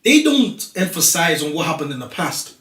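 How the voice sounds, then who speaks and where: Man, assertive, talk show guest, fairly high voice, distant mic, echoey room. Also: very animated, moderate pace, fairly bright tone. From Nigeria. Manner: assertive, talks over others to make his point. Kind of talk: casual group discussion. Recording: roomy studio, voice heard from a distance.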